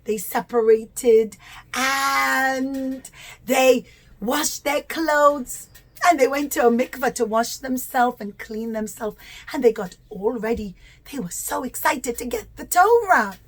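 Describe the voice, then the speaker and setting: A woman's voice: high-pitched, slow pace. A woman telling a story to young children in simple, repetitive, emphatic phrases. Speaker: children's puppet show storyteller.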